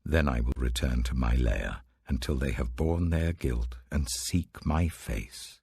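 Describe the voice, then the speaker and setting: An older man reading scripture aloud in a measured, solemn audiobook style, lifting his voice for quoted proclamations, recorded close in a studio. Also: rumbling low, vocal fry, darkish tone, slightly rough, dry recording. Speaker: Bible reader.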